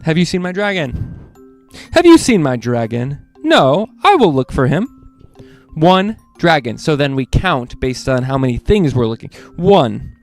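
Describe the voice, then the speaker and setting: Guy reading kids books out loud, highish voice goes up and down a lot, bit of music behind. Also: a little slow. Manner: animated, speaks directly to young listeners. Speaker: host of children's read-along show. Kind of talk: reading picture books aloud to children.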